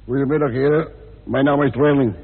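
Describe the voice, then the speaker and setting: An actor playing a cheery, floridly polite works assistant in an old radio play. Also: male voice, medium pitch, fairly even intonation, brisk, lightly acted. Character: cheery, obliging public works assistant guiding visitors. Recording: noisy, tinny old radio recording.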